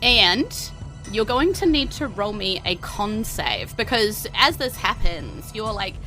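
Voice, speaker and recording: A woman with a New Zealand accent narrates a fantasy tabletop game in a bright voice. She is playful and informal, slipping between vivid description and chat with players, over faint music. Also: mid-range voice, big pitch swings, slow, low-quality recording. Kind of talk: improvised fantasy game narration and casual banter.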